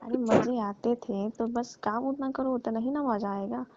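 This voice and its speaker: A woman's voice: on the high side, fairly even intonation, measured pace, very noisy. A woman playing a tired, aggrieved housemaid aunty in a comic phone-call skit, grumbling reproachfully in a familiar way. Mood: weary, complaining. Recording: muffled lo-fi dry phone call recording.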